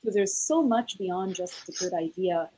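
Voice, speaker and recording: Slightly husky woman, dry close sound. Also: lowish voice, a little slow, warm tone, dry recording, rough recording. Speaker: research manager on a panel. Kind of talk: conference panel storytelling.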